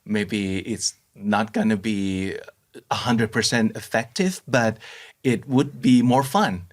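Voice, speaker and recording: Woman interviewer with an unusually deep, low voice, attentive and encouraging. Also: slow pace. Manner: attentive, frequent soft backchannels. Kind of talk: casual interview chat.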